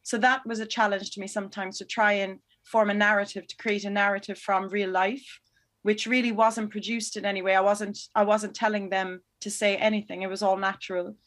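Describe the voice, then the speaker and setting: A woman film director talks through her answers in an interview. She is thoughtful and a little hesitant, pausing and restarting as she looks for the words, with a voice of ordinary pitch. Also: level tone, fairly bright tone. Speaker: documentary film director, guest.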